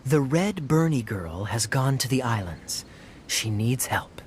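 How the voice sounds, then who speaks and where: A man playing a suave young adventurer in a video game, sly and playfully sardonic, with a smooth theatrical manner. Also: mid-range voice, lively intonation, measured pace, a little hiss or hum, lightly acted. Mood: wry explaining. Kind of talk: video game cutscene acting. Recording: music playing behind the voice.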